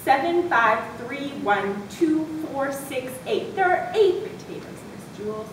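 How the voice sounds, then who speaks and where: A woman playing a stubborn, literal-minded schoolboy in an amateur readers' theatre performance, pleading and protesting. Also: animated. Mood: earnest counting. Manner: protesting, counts aloud slowly. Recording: distant, very echoey room, noisy with rumble.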